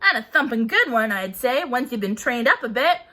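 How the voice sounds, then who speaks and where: A woman voicing a huge, gruff male giant who booms and blusters indignantly. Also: sing-song, normal speed, sharp tone, exaggerated voice. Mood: booming. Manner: booming, indignant.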